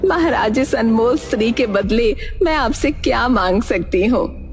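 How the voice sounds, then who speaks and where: A scheming hunchbacked woman from a storybook tale, acted out by a woman narrator. She pitches her voice high and speaks in a cunning, sweet-talking way. Music plays clearly behind her. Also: lively intonation, measured pace. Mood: obliging. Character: scheming hunchbacked woman posing as a loving aunt.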